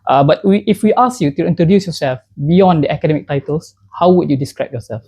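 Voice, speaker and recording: A young man moderates a podcast politely, pausing now and then on fillers. His voice sits a little high with a mellow tone. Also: expressive, slight background noise. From Johor, Malaysia. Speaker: moderator, postgraduate student.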